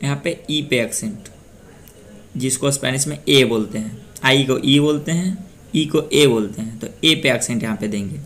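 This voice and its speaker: A young man from Bihar, India, teaching a pronunciation lesson and repeating each word slowly for practice. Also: mid-range voice, fairly bright tone. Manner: slow, repeats words drill-style. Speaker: language teacher.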